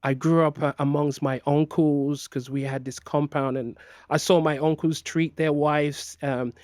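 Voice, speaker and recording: A man's voice: fairly high pitch, flat delivery, measured pace, a little mellow, dry recording, studio quality. A middle-aged man who grew up in Nigeria, speaking as an interview guest in a hesitant way with many fillers and restarts. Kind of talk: personal interview.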